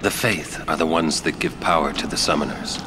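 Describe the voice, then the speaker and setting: An actor playing a stern, gruff middle-aged warrior guardian in a video game, curt, blunt and commanding. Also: male voice, extremely low pitch, normal speed, over music. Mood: grave, resolute.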